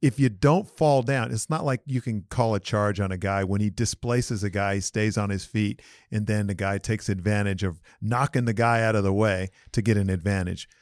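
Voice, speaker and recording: A male podcast co-host in casual, animated sports chat, slangy and opinionated, on a close mic. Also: on the low side, normal speed, hardly any pauses, no room sound.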